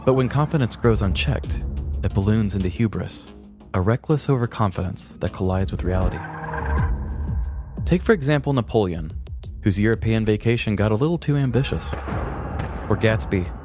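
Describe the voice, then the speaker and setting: Low-voiced man, mock-grand storyteller over music. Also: animated, moderate pace. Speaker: podcast co-host. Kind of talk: dramatic scripted comedic storytelling.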